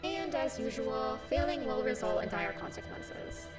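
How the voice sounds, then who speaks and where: A game's test hostess, cheerful with a threatening edge. Her high voice swoops with excitement, sounding distant and echoey beneath loud game music. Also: normal speed. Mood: gleeful, commanding. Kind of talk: video game character acting.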